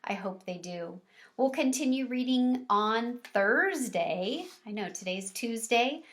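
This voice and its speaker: A woman with a fairly high voice reads a children's book aloud. Her delivery is slow and deliberate, with expressive phrasing suited to young listeners. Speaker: read-aloud teacher or reader. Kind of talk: children's storybook read-aloud.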